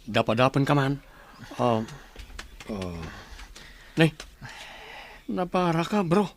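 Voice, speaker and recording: A man's voice: medium pitch, sing-song, takes it slowly, a little hiss or hum. A man with an Ilocano accent playing a helpful, brotherly villager in a radio drama. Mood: caring.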